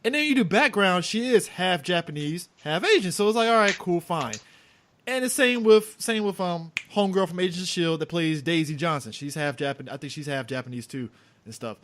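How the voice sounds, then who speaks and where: Rambling man with a lively, high-ish voice. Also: a little fast. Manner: rambling, leans on fillers such as "and stuff" and "yeah, yeah". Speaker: podcast co-host. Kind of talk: casual movie-review banter.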